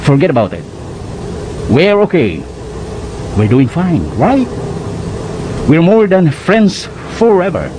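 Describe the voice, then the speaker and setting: A man's voice: on the high side, big pitch swings, noisy background. An actor playing a breezy, teasing, dismissive buddy in a radio drama, drawing out his words with English slang and a Filipino accent. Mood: breezy, reassuring. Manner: drawn-out, mixes in English slang.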